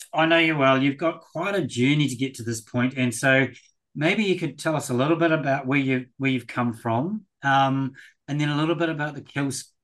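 A man hosting an interview, asking his guest questions in a relaxed way, often repeating words as he goes. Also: on the high side. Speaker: interview host.

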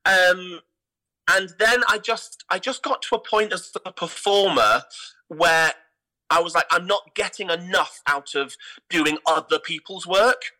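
Bright, high-voiced English man telling stories. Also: unhurried, rough recording. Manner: emphatic, repeats words for emphasis. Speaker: theatre company artistic director, interview guest. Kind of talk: animated anecdotal interview talk.

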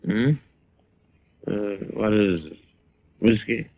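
An actor playing a drunken, weary, gloomy drifter with a gravelly, halting voice in a 1940s radio drama. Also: male voice, lowish voice. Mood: halting, insistent. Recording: dull old radio recording.

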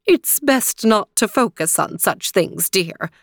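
A fussy fairy godmother type, acted by a woman storyteller, breezy and sing-song. The pitch swoops about freely, and the sound is dry and close, with an evasive, reassuring manner. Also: expressive, takes it slowly, no echo. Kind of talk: storybook character voice.

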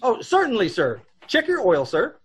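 Radio drama gas attendant, male actor: folksy rambler, high husky voice, very animated. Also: moderate pace, heavily acted. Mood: friendly, chatty. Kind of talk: old-time radio drama acting.

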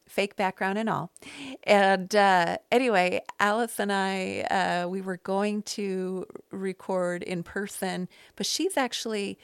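A woman's voice: mid-range voice, smooth, no echo. A middle-aged American woman hosting a casual podcast, telling stories in a rambling, self-deprecating way. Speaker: podcast host. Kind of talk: casual conversational podcast chat. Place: small loft space at home.

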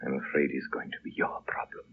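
An actor playing an ancient, serene, dying lama, fatherly and halting, in 1930s radio drama style. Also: rumbling low, huge pitch swings, vocal fry, husky, whispered, heavily acted. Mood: frail, solemn. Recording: muffled, noisy old 1930s radio recording.

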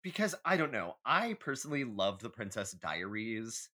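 A man's voice: on the low side, big pitch swings, moderate pace, bright, forceful tone, breathy edge. A man co-hosting a podcast, chatting casually and comedically in an emphatic, opinionated way with some swearing. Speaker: podcast host.

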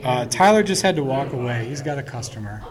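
A man hosting a relaxed, jokey chat over beers in a brewery taproom. He talks in an easy mid-range voice, teasing and irreverent. Behind him the room hums with a clear crowd. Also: mid-range voice, brisk. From Michigan. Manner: joking, irreverent banter. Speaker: host. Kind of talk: casual chat.

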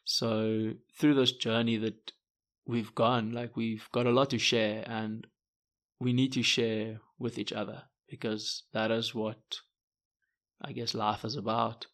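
A man's voice: fairly low pitch, flat delivery, slow pace, a bit bright. A man, a podcast co-host and adventure guide, talking in an earnest, reflective way with many fillers. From South Africa. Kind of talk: casual podcast talk.

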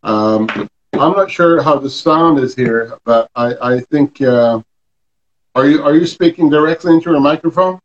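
A man hosting a casual interview chat, speaking haltingly with many fillers and restarts. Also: a little high, echoey room, lo-fi sound. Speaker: podcast host.

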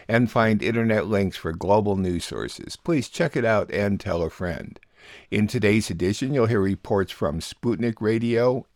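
A man hosting a radio news programme, reading scripted introductions in a measured, formal announcing manner. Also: lowish voice, no echo, clean studio sound. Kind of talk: scripted narration.

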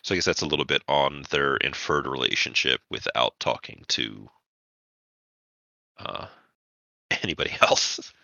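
A man with an extremely low voice and a hard, very bright edge runs a tabletop roleplaying game. He phrases his narration deliberately, pausing between thoughts, and the sound is close and dry. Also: vocal fry, no room sound, highs cut off. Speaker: game master.

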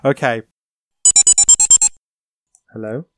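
A man hosting a casual podcast, giggly and rambling, his voice going hoarse. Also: medium pitch, fairly even intonation, drawn-out words, fairly bright tone, faint music behind. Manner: giggly, rambling, self-interrupting. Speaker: podcast host. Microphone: home microphone.

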